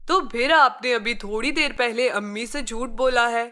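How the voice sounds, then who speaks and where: Older brother, a boy, played by a woman: highish, bright, caring and gently corrective, children's storybook. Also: normal speed. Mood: gently admonishing. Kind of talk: children's moral story character voice.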